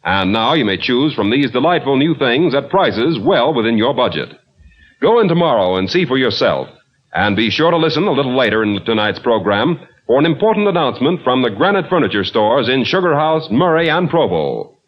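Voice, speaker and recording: Animated man, vintage radio announcer. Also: mid-range voice, fairly quick. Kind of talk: old-time radio announcing and sponsor pitch. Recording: dull lo-fi old radio recording.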